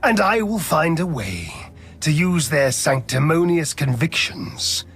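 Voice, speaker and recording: Imperious, gravelly Sith lord. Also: male voice, on the high side, big pitch swings, fairly bright tone, faint music behind, full character voice. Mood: scornful scheming. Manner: imperious, formal, deliberate. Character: arrogant, power-hungry male Sith lord, cold and scheming. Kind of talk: dramatic video game voice acting.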